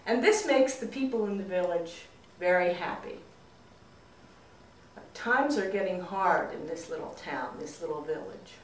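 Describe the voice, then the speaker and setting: Female storyteller: expressive folk tale narration, deliberate and unhurried, roomy sound from off the mic. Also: fairly low pitch, a little hiss or hum. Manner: deliberate, dramatic phrasing. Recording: roomy echo, distant mic.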